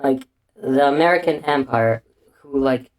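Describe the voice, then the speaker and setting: A man's voice: mid-range voice, measured pace, darkish tone, low-quality recording. A man living in Bulgaria with an unusual accent, rambling through a casual, crude commentary full of restarts and fillers. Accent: unusual non-native English accent.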